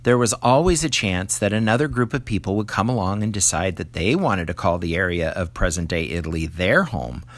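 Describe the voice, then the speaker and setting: A man's voice: fairly low pitch, a bit bright. A man reading a scripted educational lesson aloud to young students, explaining things in the manner of a teacher. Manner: explanatory, poses questions to the listener. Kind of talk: scripted educational read-aloud addressed to children.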